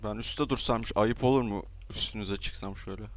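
A man chatting casually and joking while roleplaying in an online game, with animated banter. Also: on the low side, a little fast. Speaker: gaming video streamer.

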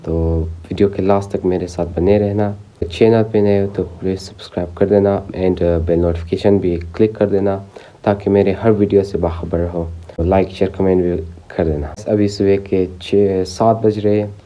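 A man's voice: low voice, steady tone, moderate pace, mellow, dull audio. A teenage boy, a Persian speaker, vlogging casually into a phone held at arm's length, with a sore throat from a cold, chatting to viewers as guys. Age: teens. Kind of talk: casual vlog talk to camera. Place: home rooms and outdoors.

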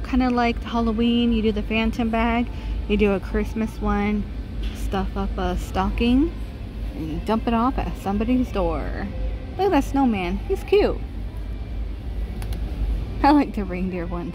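Lady doing a shop-with-me on her phone, talks real slow, holiday music playing loud in the store. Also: fairly high pitch, rough recording. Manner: chatty, reads out prices, laughs softly. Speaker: vlogger. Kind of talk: casual shop-with-me walkthrough. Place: large retail store, indoors. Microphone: handheld phone.

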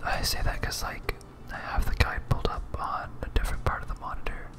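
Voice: a little fast, over music. A person whispering a hesitant, absent-minded ASMR playthrough of a video game, thinking aloud close to the microphone. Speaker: gaming video host.

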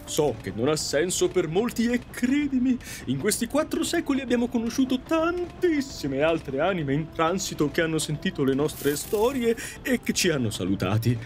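A man's voice: high voice, big pitch swings, normal speed, few pauses, faint music behind. A man acting a wistful, romantic Victorian ghost who tells stories theatrically in an audio drama. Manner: theatrical storytelling.

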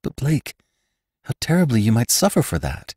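A man's voice: mid-range voice, sing-song, moderate pace, dark tone, breathy edge, no room sound, clean studio sound, pitched above the performer's own voice. A man reading the lines of a troubled, wealthy rancher woman in an earnest, pleading way.

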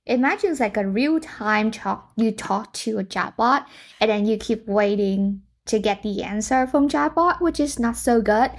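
A woman's voice: a little high, animated, normal speed. A young woman hosting a casual screen-share tutorial, thinking aloud and reacting to results as she goes. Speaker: tech tutorial host. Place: indoor room.

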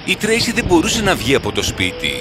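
A man narrating a scripted fairy tale in a dramatic, expressive manner. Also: sits high. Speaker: storyteller. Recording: music under the voice.

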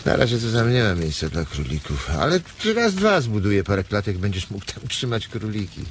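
An actor in a radio drama playing a blunt, weary ranch hand who dreams of his own farm, speaking colloquially with hesitant pauses. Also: on the low side, big pitch swings. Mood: dreamy. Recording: noisy ambience behind the voice.

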